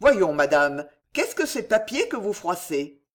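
A woman's voice: mid-range voice, very animated, a little slow. A woman voicing a courteous, resolute young male chevalier in an audiobook story, formal and probing. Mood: insistent. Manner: formal, polite, questioning. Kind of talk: storybook character acting.

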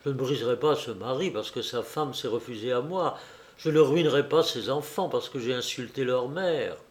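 A man's voice: mid-range voice, moderate pace, close to an everyday voice. A man voicing a proud, noble Corsican countess in an audiobook, dignified and pleading, his own voice barely changed. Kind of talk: audiobook reading.

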